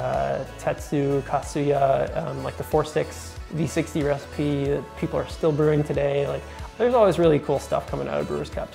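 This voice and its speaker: Young guy hosting, gravelly voice, excited and chatty, bit of music under it, indoor room. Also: fairly high pitch. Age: young adult. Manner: enthusiastic, chatty, many fillers. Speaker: host. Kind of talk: casual talk to camera. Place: bright kitchen-like room indoors.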